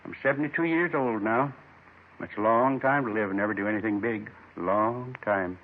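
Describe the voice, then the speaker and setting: An actor playing a lonely, gentle man in his seventies, folksy and wistful, confessing in short rambling phrases in 1950s radio drama style. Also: lowish voice, moderate pace, slight background noise, natural voice. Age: 70s. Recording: muffled 1950s radio recording.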